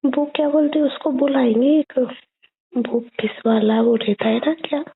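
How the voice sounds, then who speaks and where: A young woman chatting casually and intimately with her boyfriend on a phone call, in a childlike, cooing way with drawn-out phrases. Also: high voice, slight background noise. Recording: muffled, band-limited phone call recording.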